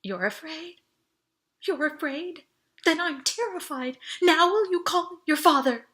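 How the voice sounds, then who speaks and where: A woman's voice: very high-pitched, animated, unhurried, fairly bright tone, pitched above the performer's own voice, full character voice. A woman voicing an anxious, sardonic young female passenger in an audiobook, with a quavering, exclamatory delivery. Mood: terrified, sarcastic. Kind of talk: audiobook character dialogue.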